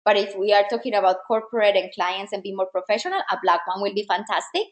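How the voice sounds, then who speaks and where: A woman's voice: mid-range voice, lively intonation, fast, roomy sound. A woman style coach whose first language is not English, giving an encouraging, direct masterclass to women. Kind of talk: live online masterclass.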